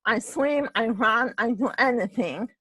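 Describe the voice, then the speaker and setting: A woman from Poland with a Polish accent and a husky voice, telling a personal story in casual chat, halting and restarting her phrases and often adding "right?". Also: fairly high pitch, sharp tone, no echo. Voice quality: husky voice, ongoing voice problem.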